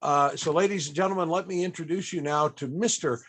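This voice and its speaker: An older man hosting an interview, chatty and a bit halting, with frequent chuckles. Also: fairly high pitch, a bit bright, slightly rough. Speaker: panel host.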